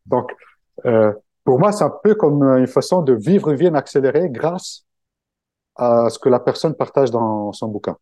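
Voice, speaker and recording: Hesitant Italian man, mellow voice. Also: mid-range voice, animated, moderate pace, no room sound, clean studio sound. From Italy. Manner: hesitant, many fillers and restarts. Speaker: guest, book-summary creator. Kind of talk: casual interview conversation.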